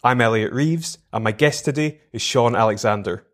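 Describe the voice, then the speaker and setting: A man hosting an interview podcast, reading a polished introduction and then chatting warmly, encouraging his guest with quick responses. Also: mid-range voice. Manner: friendly, encouraging, quick backchannels.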